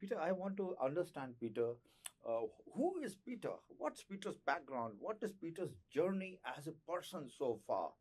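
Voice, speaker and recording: A man's voice: a little high, sing-song, normal speed, a little mellow, breathy edge, low-quality recording. A man hosting an interview, asking effusive questions in flowery, repetitive phrasing. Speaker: interview host.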